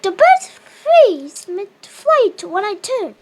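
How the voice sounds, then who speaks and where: A performer playing an uneasy field reporter lost in a looping forest, sending halting dispatches over the radio in an eerie audio drama. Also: very high-pitched, big pitch swings, darkish tone, full character voice. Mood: uneasy, watchful. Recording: very noisy radio transmission with clicks.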